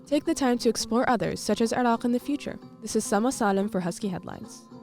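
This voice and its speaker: Brisk, mellow young woman reporter. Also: a little high. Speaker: student reporter. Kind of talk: scripted news feature narration. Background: faint music.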